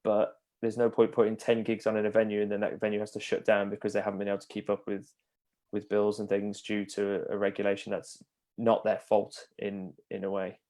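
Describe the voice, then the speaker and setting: Fast-talking man with a low voice. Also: completely flat delivery, mellow. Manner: quick, joking, laughs easily. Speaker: interview host, promoter. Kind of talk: casual interview chat.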